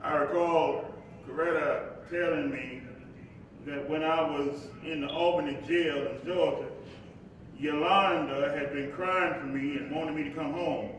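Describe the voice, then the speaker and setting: Male actor as civil rights preacher, eloquent, slow oratorical delivery, reflective, stage play, distant echoing hall. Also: fairly high pitch, steady tone, natural voice. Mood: reflective, wry. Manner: measured, deliberate, preacherly cadence. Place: live performance in an echoing hall. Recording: very echoey hall, distant mic, faint noise.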